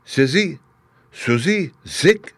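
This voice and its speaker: A man's voice: on the high side, expressive, slow, a bit bright, dry recording, pitched above the performer's own voice, exaggerated voice. A man voicing an earnest young girl on a journey in a storybook reading. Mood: reciting carefully.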